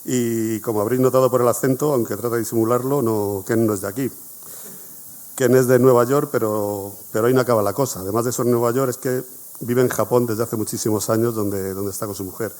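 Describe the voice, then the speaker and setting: A man's voice: mid-range voice, steady tone, a little fast, a little mellow. A man hosting a history conference, speaking off the cuff to the audience with many fillers and repeated words. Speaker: conference coordinator and host. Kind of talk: spontaneous presentation to an audience.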